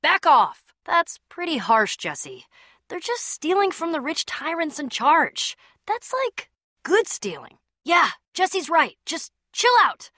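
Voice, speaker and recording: Bright, feisty young adventurer woman. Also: very high-pitched, huge pitch swings, takes it slowly, dry recording, studio quality, heavily acted. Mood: feisty, sarcastic, then casual, friendly. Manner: casual, wry, teasing. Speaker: video game voice actor. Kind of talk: animated game dialogue.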